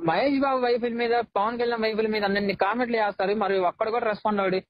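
A man's voice: sits high, fairly even intonation, fast, no room sound. A young man chatting informally and in slang on a recorded phone call. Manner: informal, slangy, says "bro" often. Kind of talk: casual phone conversation.

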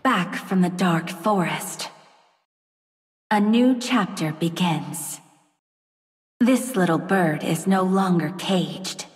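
An actress playing a vengeful dark fairytale princess turned sorceress queen in a video game, haughty, measured and theatrical. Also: on the low side. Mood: brooding, proud. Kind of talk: video game character voice lines. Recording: long reverb, distant from the mic.

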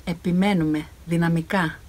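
Woman, 50s, rough-edged lowish voice, dramatic studio reading. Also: fairly even intonation, darkish tone. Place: recording studio. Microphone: studio condenser microphone.

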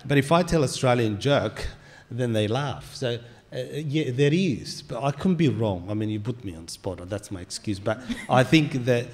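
A man's voice: mid-range voice, long reverb tail. Joking young man from Somalia on a panel. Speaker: panel guest, public speaker. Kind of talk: panel discussion. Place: stage of a small indoor venue. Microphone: stand mic.

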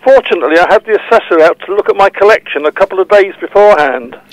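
A man's voice: high voice, animated, quick pace, no echo, low-quality recording. A man playing a matey football memorabilia collector calling in to a podcast. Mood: matey, earnest. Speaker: podcast phone-in caller. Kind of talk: conversational audio drama acting.

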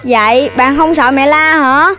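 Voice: high voice, unhurried, bright, forceful tone. A voice actor playing a timid, obedient little animal child in a children's cartoon, childlike and hesitant. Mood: reluctant, worried. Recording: faint music behind the voice.

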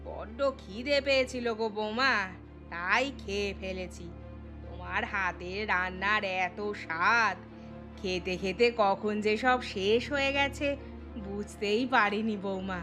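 Cartoon mother-in-law played by a woman: older glutton, sharp bright tone, middle pitch, coaxing and folksy. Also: measured pace. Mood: sheepish, coaxing. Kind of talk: cartoon voice acting. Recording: music behind the voice.

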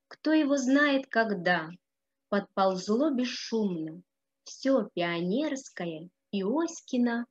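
A young adult woman reading a story aloud in an expressive, dramatic way with deliberate phrasing. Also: on the high side, slightly rough. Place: small room indoors. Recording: dull lo-fi webcam sound.

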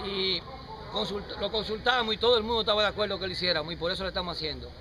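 A middle-aged Dominican man with a Dominican Spanish accent giving an earnest, measured welcome speech at an outdoor ceremony. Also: high voice. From Dominican Republic. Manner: earnest, measured, speaking unamplified to a crowd. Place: outdoor plaza in rain. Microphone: handheld camera nearby. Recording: noisy outdoor street recording. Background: street traffic noise.

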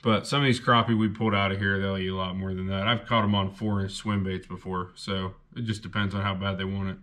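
A man's voice: deep voice, little pitch movement, fast talker, bright, forceful tone. A young man hosting a fishing channel, chatting casually and rambling a bit as he shows off gear. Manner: laid-back, rambling with fillers. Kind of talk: casual haul video chat.

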